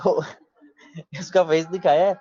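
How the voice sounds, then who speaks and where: Mellow-voiced man in his thirties on a video call. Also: fairly high pitch, a little slow, no room sound. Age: 30s. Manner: relaxed, opinionated, mixes in English phrases. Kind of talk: casual video chat. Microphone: video call mic. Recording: dull lo-fi video call audio.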